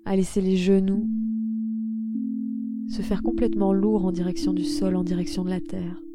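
A woman guiding a relaxation meditation in a soothing, unhurried manner with repetitive instructions. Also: mid-range voice, completely flat delivery. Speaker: yoga nidra guide. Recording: loud meditative music bed. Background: singing bowl meditation music.

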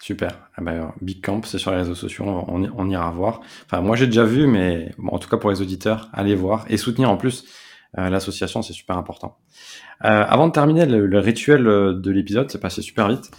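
A man's voice: on the low side, brisk, a little mellow. A man hosting a sports podcast, upbeat and encouraging with his guest. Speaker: podcast host. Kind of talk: casual interview hosting.

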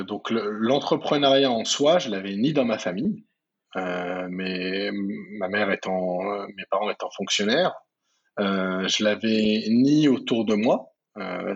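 A man's voice: fairly low pitch, measured pace, husky, muffled recording. Hesitant man from the Paris region. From France, Paris region. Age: 30s. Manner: hesitant, many fillers and restarts. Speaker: guest entrepreneur. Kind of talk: casual interview talk.

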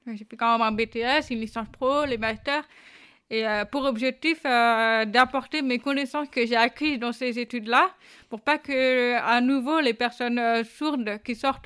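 Clear-voiced young woman answering earnestly. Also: a little high, normal speed, fairly bright tone. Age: young adult. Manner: plain, earnest, with frequent hesitations. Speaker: guest, deaf employment adviser. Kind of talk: interview answers. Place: quiet corner at a busy job fair.

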